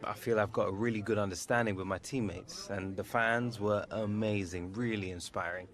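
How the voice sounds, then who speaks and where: A low-pitched, confident young male footballer from a video game, played by a male actor. He has an urban London accent and talks in a laid-back, slangy, slightly cocky way. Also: fairly even intonation, normal speed, a bit bright, close to an everyday voice. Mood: upbeat, composed. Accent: British urban, London. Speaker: professional footballer character. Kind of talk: video game cutscene acting.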